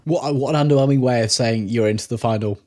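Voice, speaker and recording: A man's voice: medium pitch, quick pace, a little mellow. A man hosting a reaction video, making wry, sarcastic and sweary comments.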